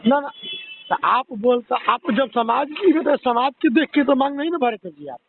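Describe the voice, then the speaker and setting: A man's voice: very high voice, big pitch swings, moderate pace, background noise. A man with a Bhojpuri accent speaking as a media reporter in a phone interview, questioning in a confrontational, scolding way. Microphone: phone line. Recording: tinny, band-limited phone call.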